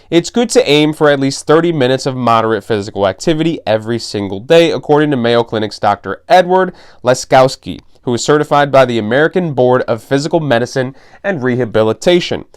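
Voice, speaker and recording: A young adult man hosting his own fitness podcast, reading an article aloud with dry, sarcastic asides, in what he calls a monotone voice. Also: medium pitch, clear, resonant tone. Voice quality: self-described monotone voice. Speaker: podcast host.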